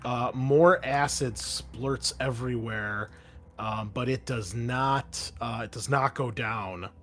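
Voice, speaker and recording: A man's voice: mid-range voice, takes it slowly, a bit bright, a little hiss or hum. A man running a tabletop roleplaying game as host, shifting between conversational rulings and dramatic descriptive narration.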